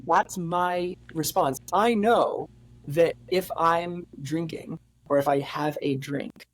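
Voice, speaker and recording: A man in his late twenties, a podcast guest talking candidly in a reflective, halting way with many fillers and restarts. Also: a little high. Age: 20s. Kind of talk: candid conversational interview.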